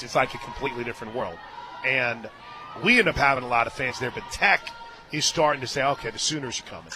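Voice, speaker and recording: A man calling softball play-by-play on the radio, chatting casually between pitches and lifting with excitement on big plays. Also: noisy background. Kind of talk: live softball commentary with casual booth chat. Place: stadium radio booth.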